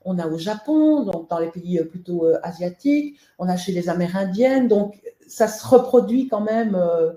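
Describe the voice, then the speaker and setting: A woman's voice: on the low side, animated, moderate pace, hardly any pauses, warm tone, roomy sound. A woman from Belgium, the guest author in a webinar interview, talking in a hesitant way with many fillers and restarts.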